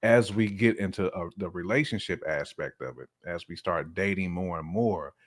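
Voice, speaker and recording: American male, low voice, colloquial and informal, conversational podcast talk. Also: moderate pace. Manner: colloquial, informal, acts out quoted remarks. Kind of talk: casual conversational discussion.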